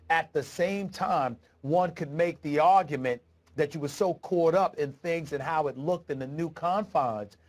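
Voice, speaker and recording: A man on a sports debate show, sniffling with congestion, laying out a point with deliberate, formal phrasing. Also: on the high side.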